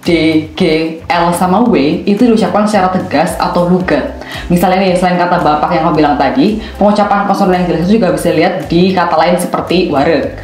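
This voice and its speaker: A young man with a Banyumas Javanese accent, co-hosting an educational podcast and explaining terms in a steady way. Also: sits high, fast, roomy sound, low-quality recording. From Banyumas, Central Java. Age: young adult. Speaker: podcast co-host, intern student. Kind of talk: scripted educational chat.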